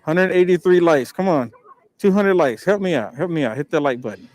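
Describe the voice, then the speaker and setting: A man's voice: fairly high pitch, brisk, darkish tone. A man live streaming on the street, eagerly urging viewers to hit the like button. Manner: upbeat, pushy calls for likes. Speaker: street livestreamer.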